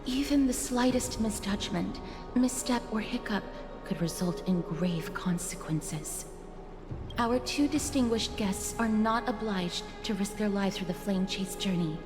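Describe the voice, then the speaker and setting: A woman playing a cautious, dutiful young attendant in a video game, speaking gently with formal deference. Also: mid-range voice, measured pace, close to an everyday voice. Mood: concerned, deferential. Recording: echoey hall reverb over music.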